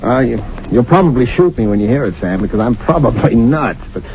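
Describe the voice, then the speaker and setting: A man's voice: medium pitch, expressive, brisk, lightly acted. An actor playing an anxious real estate agent who stammers as he confides in a friend, in a 1940s radio drama. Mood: worried. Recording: lo-fi 1940s radio broadcast with hiss.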